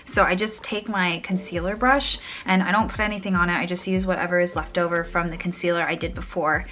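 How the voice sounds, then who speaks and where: A woman's voice: on the low side, steady tone, a little fast, fairly bright tone. A young woman chatting casually through a makeup tutorial, a little sniffly, rambling and trailing off mid-sentence. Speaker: beauty vlogger.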